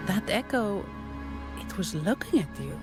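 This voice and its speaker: A woman's voice: medium pitch, expressive, unhurried, loud music behind the voice. A woman playing a composed, courteous young female guide in a video game, polite and formal as she explains things. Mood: thoughtful, discreet. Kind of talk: video game cutscene acting.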